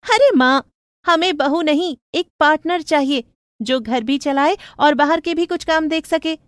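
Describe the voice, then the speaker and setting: A woman voicing a spirited, modern-minded young sister in an animated moral story, speaking in an eager, insistent, persuasive manner. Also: high-pitched, unhurried, no room sound, clean studio sound, voice pushed up.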